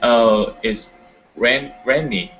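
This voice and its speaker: Thai guy in english class, slow halting answers, muffled call, faint music. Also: medium pitch, steady tone. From Thailand. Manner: hesitant beginner English, short answers. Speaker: language student. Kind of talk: casual class chat. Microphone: computer microphone on a video call.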